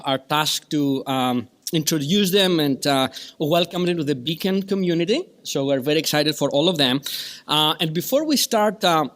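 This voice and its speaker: Effusive Greek-accented conference chair. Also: male voice, a little high, fairly bright tone. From Greece. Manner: effusive, rambling, with many fillers and restarts. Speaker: session chair at a scientific meeting. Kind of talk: conference introduction speech.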